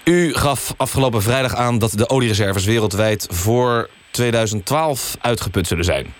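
A man playing an earnest radio news interviewer, deadpan and somewhat halting, in a satirical magazine show. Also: mid-range voice, close to an everyday voice. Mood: earnest, incredulous. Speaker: radio host.

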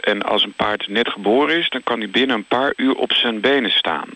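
An actor playing an awkward, clueless male freelance field reporter in a radio comedy, speaking haltingly as if live on air. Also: medium pitch, pushed and bright, close to an everyday voice. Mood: awkward, earnest. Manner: halting, hesitant.

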